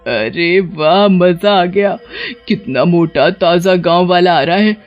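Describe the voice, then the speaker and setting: A woman's voice: lowish voice, takes it slowly, dull audio. Woman voicing a hungry, sly cartoon witch over music. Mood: predatory glee. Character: hungry, sly female forest witch, gleefully predatory. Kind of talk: animated Hindi moral story.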